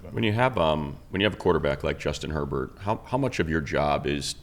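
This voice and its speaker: A man's voice: a bass voice, lively intonation, brisk. A man co-hosting a sports podcast, asking a musing question. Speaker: podcast co-host.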